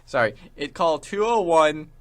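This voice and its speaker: A man's voice: fairly high pitch, expressive, unhurried, fairly bright tone. A young man hosting a casual chat show, speaking informally in stop-start phrases with repeated words. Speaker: show host.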